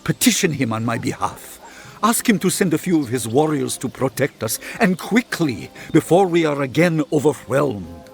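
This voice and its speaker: An actor playing an earnest, weary village leader in a video game, speaking imploringly. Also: male voice, a little high, big pitch swings, normal speed, gravelly, soft background music. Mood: grateful, worried. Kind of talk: video game character dialogue.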